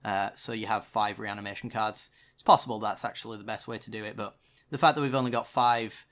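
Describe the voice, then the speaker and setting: A man's voice: lowish voice, fairly even intonation, fairly quick, a bit bright. Guy streaming a card game, chatty and a bit sarcastic, throat still a little sore. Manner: casual, chatty, with dry sarcasm. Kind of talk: gameplay commentary.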